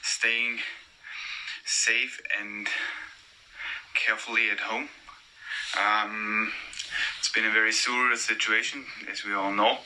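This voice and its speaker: A man's voice: on the low side, level tone, slow pace, long pauses, bright, forceful tone, rough recording. A man hosting a live-stream talk with an art collector, speaking hesitantly with frequent fillers over a video call. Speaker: host of collector talks.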